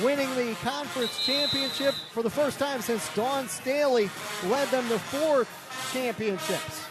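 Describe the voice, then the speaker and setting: A man's voice: extremely high pitch, low-quality recording. A man giving live radio play-by-play commentary at a sports venue, projecting his voice in urgent, clipped phrases.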